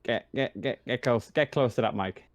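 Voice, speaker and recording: A man's voice: mid-range voice, normal speed, no room sound. A man acting as game master in a casual tabletop roleplaying chat, informal and joking, with some swearing.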